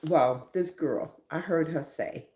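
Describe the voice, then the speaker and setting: A woman's voice: low-pitched, slow, a little mellow, roomy sound. A woman hosting a live stream, giving reflective motivational talk and often asking "right?".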